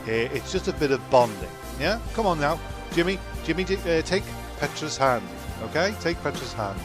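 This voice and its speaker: A man acting an eager castaway in a comic radio play, his voice fairly high. He rambles and cajoles, with music clearly behind him. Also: sing-song, moderate pace. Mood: coaxing. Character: eager, coaxing male castaway singalong leader.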